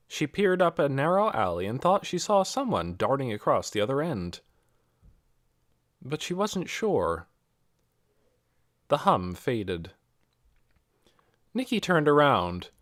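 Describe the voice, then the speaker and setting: A man's voice: mid-range voice, no echo, studio quality. A man reading a scripted novel aloud as an audiobook narrator. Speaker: audiobook reader.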